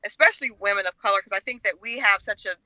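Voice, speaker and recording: Bright, forceful middle-aged American woman. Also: mid-range voice, very fast, no echo. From Oklahoma, United States. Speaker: talk show guest, media personality and relationship expert. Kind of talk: conversational interview, personal storytelling.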